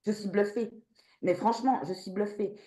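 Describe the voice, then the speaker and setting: A woman's voice: medium pitch, roomy sound. A woman in her fifties giving a blunt, outspoken customer testimonial on a video call. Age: 50s. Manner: blunt, outspoken, animated and quick.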